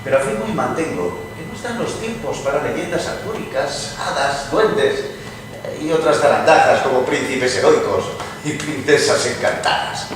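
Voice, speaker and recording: A wry, theatrical poet acted by a man holds forth with strong opinions in a radio play. His medium-pitched voice is distant and very echoey, over clear noise. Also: expressive, normal speed, lightly acted. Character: witty, opinionated male English poet among literary friends. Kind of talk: dramatized reading of a literary debate.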